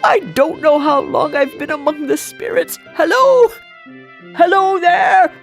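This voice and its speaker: A woman voicing an emotional old miser in a storybook, repentant and excitable, with emphatic exclamations. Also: extremely high pitch, unhurried, vocal fry, soft background music, voice pushed up, heavily acted. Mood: giddy joy. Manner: exclamatory, emphatic pleading and rejoicing. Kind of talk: storybook character voice.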